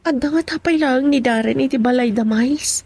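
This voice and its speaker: An anxious young Filipino woman in a radio drama, determined and insistent. Her voice sits high with a husky grain. The sound is dry and close. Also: a little fast, darkish tone, no echo, exaggerated voice. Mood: determined, suspicious. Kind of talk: Ilocano radio drama acting.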